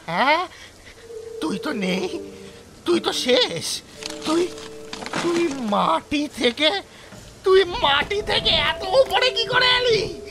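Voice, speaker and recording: A woman voicing a terrified man who stutters and gasps out halting, repeated words in an audio drama. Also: extremely high pitch, lively intonation, noisy background, voice pushed down, full character voice. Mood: terror. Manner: halting, stuttering, gasping repetition. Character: terrified, stammering man seeing a ghost. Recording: voice under prominent music. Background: prominent background music.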